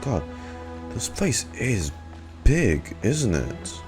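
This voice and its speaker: A man's voice: on the low side, big pitch swings, extremely slow, over music. A male game streamer chatting offhand in short bursts as he reacts to gameplay. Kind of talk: casual live gameplay reaction.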